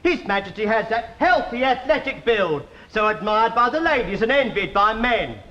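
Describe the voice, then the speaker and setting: A fussy gushing tailor, very high, bright and sharp, fawning, roomy stage sound. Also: male voice, moderate pace. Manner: flattering, gushing and prissy. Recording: dull, roomy stage recording with audience.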